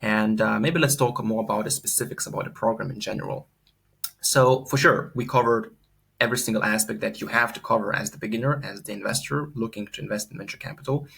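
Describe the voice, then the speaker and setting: A man's voice: on the low side, fast, creaky, a bit bright, slightly rough. A young man from Lithuania, giving an earnest, unscripted course testimonial full of fillers and repeated words.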